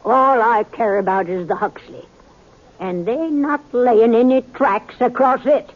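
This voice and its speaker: A woman's voice: fairly high pitch, unhurried. A proud, stubborn old prospector woman of the frontier, talks in folksy, defiant western speech. Her voice sits in a vintage radio recording, thin and narrow like a phone line. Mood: stubborn, assured. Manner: folksy, defiant, rustic grammar. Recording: tinny, band-limited vintage radio recording.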